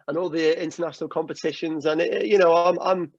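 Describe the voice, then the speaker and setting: A man's voice: a little high, steady tone, quick pace, no echo, lo-fi sound. A man from Wales, chatting casually on a podcast as a guest, laughing often and swearing now and then. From North Wales. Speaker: guest singer-songwriter.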